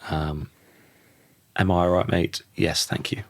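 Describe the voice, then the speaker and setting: Casting: croaky man in his thirties, low voice, thoughtful and halting, dry close-miked sound. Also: steady tone, no echo. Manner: thoughtful, restarts sentences often. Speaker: podcast guest. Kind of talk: reflective conversational interview.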